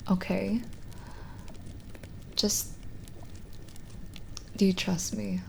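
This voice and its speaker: A woman playing a kindly, lonely young witch healer in a soft, intimate ASMR roleplay, explaining gently and a little hesitantly. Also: medium pitch, gravelly, slight background noise. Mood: gently reassuring.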